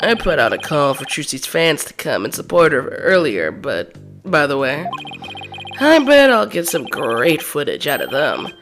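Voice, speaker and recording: A woman's voice: sits low, big pitch swings, measured pace, music in the background, heavily acted. A woman reading the lines of a smarmy, sleazy male TV reporter who taunts with needling questions, in a game playthrough. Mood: taunting. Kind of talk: reading game dialogue aloud.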